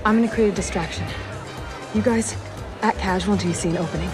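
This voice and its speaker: An actress playing a brisk, businesslike cop posing as an assistant. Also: female voice, medium pitch, over music, lightly acted. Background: film score.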